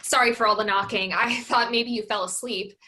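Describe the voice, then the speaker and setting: A woman playing a brisk, exasperated grown daughter in a staged play reading. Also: on the high side, fairly even intonation, pushed and bright. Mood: brisk, corrective.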